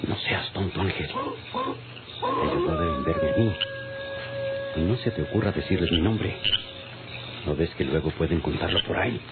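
A deep, secretive Mexican crime boss. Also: male voice, huge pitch swings, measured pace, pauses often, heavily acted. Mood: secretive, stern. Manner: secretive, commanding. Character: wary, scolding male boss plotting a killing in secret. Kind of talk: old Mexican radio serial acting. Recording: tinny, very noisy old radio recording.

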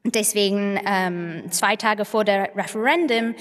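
A woman from England speaking non-native German with an English accent, haltingly searching for words as she gives an anecdotal conference talk. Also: medium pitch. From England (Manchester). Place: large conference hall on stage, indoors. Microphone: podium microphones. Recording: echoey hall with long reverb.

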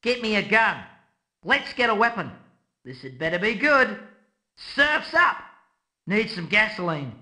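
A man's voice: high-pitched, very animated, a little slow, long pauses, pushed and bright, full character voice. A man voicing a brash, mocking soldier with an Australian or New Zealand accent in a video game. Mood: brash, eager. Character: cocky, taunting Antipodean soldier, brash and mocking. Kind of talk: video game character taunts and barks.

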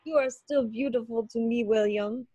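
A woman's voice: fairly high pitch, steady tone, normal speed, warm tone, husky, no room sound, muffled recording. A woman playing an affectionate young witch bride in a table read over a video call. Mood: affectionate, dramatic.

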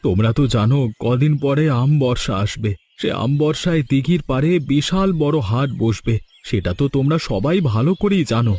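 একজন পুরুষ কার্টুনে এক স্নেহশীল, দায়িত্বশীল গ্রামের কুমোর বাবার চরিত্রে আন্তরিকভাবে বুঝিয়ে কথা বলছেন।. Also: male voice, medium pitch, expressive, fairly quick, a little mellow, lightly acted. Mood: earnest, organizing. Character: responsible, caring village potter and father. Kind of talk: Bengali cartoon acting. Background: background music.

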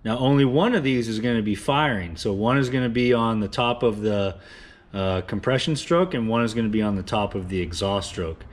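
A man's voice: lowish voice, expressive, fairly quick. A man in his thirties explaining engine work in a casual workshop tutorial, plain-spoken with frequent uhs and restarts, recorded in a home garage. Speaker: engine builder explaining cam timing. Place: home garage with cars and a car lift. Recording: echoey garage.

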